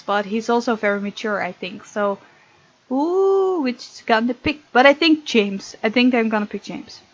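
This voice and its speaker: Higher-voiced woman narrating a game playthrough. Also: no room sound, muffled recording. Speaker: gaming video host. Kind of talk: reading visual novel text aloud with casual commentary.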